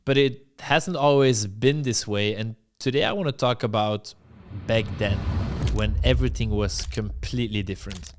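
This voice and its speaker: A man's voice: mid-range voice, smooth. A young adult man from Belgium, a dating coach, tells a candid, blunt and self-deprecating personal story straight to camera.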